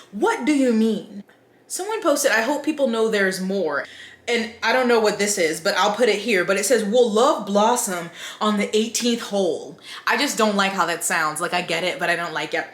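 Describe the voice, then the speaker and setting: A woman's voice: mid-range voice, expressive, moderate pace, echoey room. A woman book vlogger giving casual, chatty opinion commentary with wry, incredulous asides.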